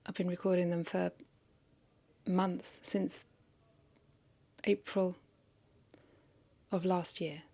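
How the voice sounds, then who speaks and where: A woman's voice: lowish voice, little pitch movement, slow, pauses often, mellow. A woman talking casually and confessionally to camera as a vlogger, hesitant and reflective, questioning herself and repeating phrases.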